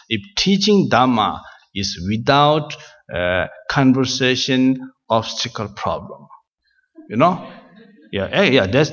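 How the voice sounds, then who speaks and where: A man teaching Buddhist practice to a class in English, which is not his first language, speaking haltingly with many fillers and restarts in a gentle, self-deprecating manner. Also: fairly low pitch. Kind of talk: Dharma talk to a class. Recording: dull, reverberant hall sound.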